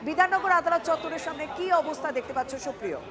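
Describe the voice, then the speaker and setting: A woman's voice: high voice, lively intonation, racing, a crowd in the background. A woman anchoring live breaking news in an urgent broadcast style.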